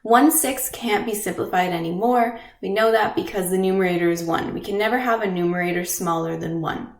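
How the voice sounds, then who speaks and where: A woman's voice: medium pitch, brisk. A woman teacher with a stuffy nose from a cold, patiently explaining fractions step by step and often checking in with "okay?". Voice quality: nasal. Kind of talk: recorded math lesson. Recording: echoey room.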